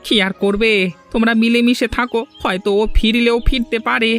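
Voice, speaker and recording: A woman's voice: a little high, fairly even intonation, normal speed, faint music behind, natural voice. A woman playing a meddling, confident village neighbour man in a cartoon, assured and full of advice. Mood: news-bearing. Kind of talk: cartoon dubbing.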